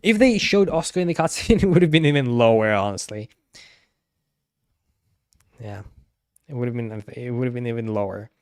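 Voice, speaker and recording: A man's voice: mid-range voice, normal speed, pauses often, smooth, no echo, studio quality. A man giving casual gaming commentary as a streamer, often restarting and repeating his words hesitantly. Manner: hesitant, repetitive, stammering restarts.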